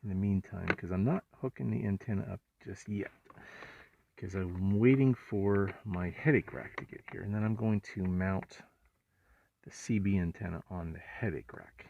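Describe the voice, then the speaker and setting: A man narrating a hands-on install in a casual, low-key way, muttering to himself as he works. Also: normal speed, gravelly, darkish tone. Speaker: vlogger doing truck accessory installs. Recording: muffled lo-fi audio, faint noise, clicks.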